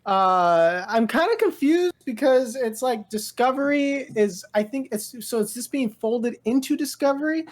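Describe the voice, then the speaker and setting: A woman co-hosting a casual pop culture podcast, rambling and halting as she thinks aloud. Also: fairly high pitch, expressive. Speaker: podcast co-host.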